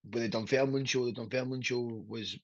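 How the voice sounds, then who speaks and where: A man's voice: mid-range voice, fairly even intonation, brisk, dry recording. A man in his thirties from Scotland with a Glaswegian accent, a wrestler chatting on a podcast in a rambling, sweary way that keeps checking in with the listener. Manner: rambling, sweary, keeps asking "do you know what I mean". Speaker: pro wrestler guest. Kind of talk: casual podcast chat. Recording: dull, lo-fi, dry podcast audio.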